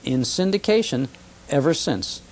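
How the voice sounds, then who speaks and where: A man's voice: fairly high pitch, animated, measured pace. A man talking conversationally as a guest expert on radio history, enthusiastic and emphatic, repeating phrases for effect.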